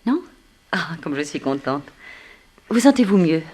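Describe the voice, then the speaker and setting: A woman's voice: on the low side, sing-song, measured pace, a little mellow. An actress in a 1960s French radio drama playing an earnest, refined captive woman, formal and dramatic in her telling. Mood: tender, relieved.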